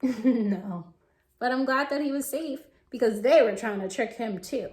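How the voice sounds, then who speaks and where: A woman reading to young children and discussing the book, expressive and asking questions directly to the listeners. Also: on the high side, measured pace, roomy sound. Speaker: children's story reader and teacher. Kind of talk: animated read-aloud and discussion for young children.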